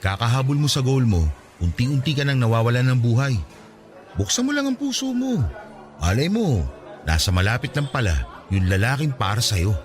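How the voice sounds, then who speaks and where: A friendly young man's voice in a radio drama, as an actor plays him, moving up and down a lot as he gives warm advice. Soft music sits quietly in the background. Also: medium pitch, big pitch swings, normal speed, close to an everyday voice. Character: young man Raj, caring childhood friend.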